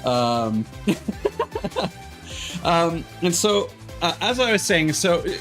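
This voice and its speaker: A man's voice: fairly high pitch, big pitch swings, extremely slow, a bit bright. A man giving casual, rambling gameplay commentary as a streamer, hesitant and drifting into tangents. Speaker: video game streamer. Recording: music behind the voice.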